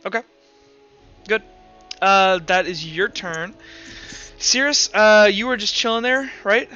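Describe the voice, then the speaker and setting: A man's voice: high voice, steady tone, slow pace, pushed and bright, smooth. A man running a tabletop roleplaying game, narrating off the cuff and casually thinking aloud. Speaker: game master. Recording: dull lo-fi voice track with music. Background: music.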